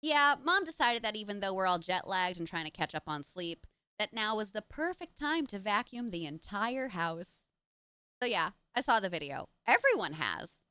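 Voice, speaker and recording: A woman's voice: medium pitch, sing-song, moderate pace, sharp tone, smooth, no echo. A woman voicing a sardonic, tired teenage girl in a visual novel, talking in a dry, weary way.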